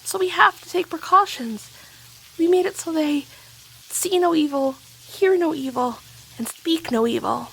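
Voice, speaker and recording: A stern monkey creature acted by a woman speaks in a high voice. The delivery is guarded and judging, as in an audio drama. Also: unhurried, vocal fry, fairly bright tone, a little hiss or hum, heavily acted, rough recording.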